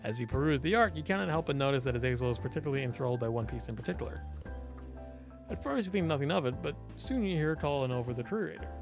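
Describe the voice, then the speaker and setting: A man's voice: mid-range voice, animated, fast, over music. A man reading visual novel narration aloud expressively, as a let's player and voice actor would.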